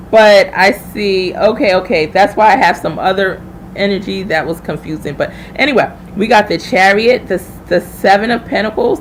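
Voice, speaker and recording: A woman's voice: mid-range voice, a little hiss or hum, rough recording. A woman hosting a live tarot reading, chatting informally and familiarly with viewers as she thinks aloud. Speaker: tarot reader hosting a livestream.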